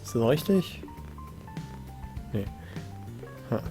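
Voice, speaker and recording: Mellow-voiced man doing laid-back gaming commentary. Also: medium pitch, very animated, slow pace, soft background music, rough recording. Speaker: let's play host. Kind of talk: casual gameplay commentary in German.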